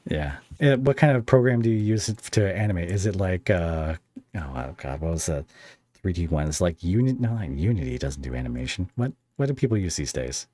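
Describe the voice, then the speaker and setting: A man with a low voice and a very dry, close sound hosts a relaxed interview. He talks in a rambling, conversational way, often feeling around for the right word. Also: a little fast, dry recording. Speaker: podcast host and interviewer. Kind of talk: casual podcast interview.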